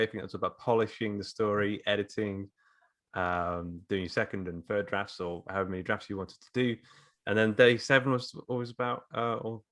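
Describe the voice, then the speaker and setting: A man's voice: fairly low pitch, fairly bright tone. A man who co-leads a course, explaining casually and a little hesitantly on a podcast. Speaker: writing course co-leader.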